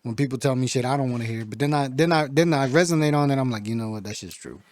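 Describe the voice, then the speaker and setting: A man's voice: mid-range voice, fast, a little mellow, no echo. A man chatting casually and slangily as a rapper and co-host in an unscripted podcast conversation.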